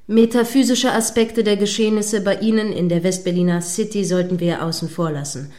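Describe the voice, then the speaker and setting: A woman's voice: mid-range voice, steady tone, brisk, a little mellow, lightly acted. A woman playing a prim, combative radio news anchor in a radio play, speaking formally with pointed, sceptical irritation. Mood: businesslike, corrective. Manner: stern, pointed, formally articulate.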